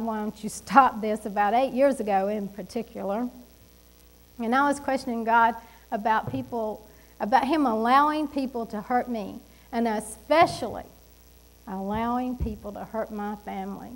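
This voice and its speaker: High-voiced woman preaching with emphasis. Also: poor audio. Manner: animated and emphatic, with laughs and asides. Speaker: pastor. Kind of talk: sermon. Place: church stage at a wooden pulpit.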